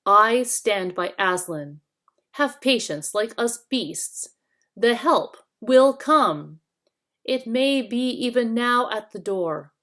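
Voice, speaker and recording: A woman's voice: fairly high pitch, expressive, slow, fairly bright tone, pitched above the performer's own voice. A woman voicing a loyal, patient male badger with an earnest, stern manner in a storybook. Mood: steadfast.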